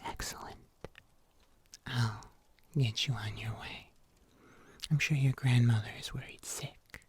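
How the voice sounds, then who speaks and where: An ASMR performer playing a kindly huntsman healer in a whispered fantasy roleplay, soothing and breathy, with old-fashioned phrasing. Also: mid-range voice, a little mellow, exaggerated voice. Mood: kind, reassuring. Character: kindly fairy-tale huntsman healer, caring and gently wry.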